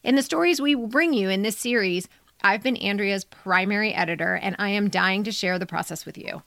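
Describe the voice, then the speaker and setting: A woman's voice: medium pitch, brisk, vocal fry, fairly bright tone. A woman podcast co-host and editor talking conversationally, with a candid, casually profane manner. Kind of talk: conversational podcast introduction and commentary.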